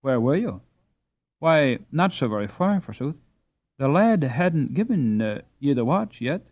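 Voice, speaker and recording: A man's voice: medium pitch, sing-song, measured pace, no echo, muffled recording, pitched above the performer's own voice. A man voicing an anxious, plain-spoken Dutch housewife in an audiobook, fussing and soothing in folksy, old-fashioned speech. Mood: uneasy, coaxing. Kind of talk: audiobook character dialogue.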